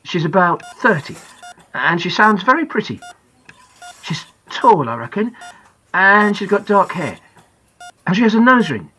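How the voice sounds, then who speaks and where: A woman narrates as a young boy telling his own story in an audiobook. The voice is slightly husky and plain-spoken, with a childlike candour that can turn indignant. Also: mid-range voice, a bit bright, heavily acted, low-quality recording. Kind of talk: first-person audiobook narration.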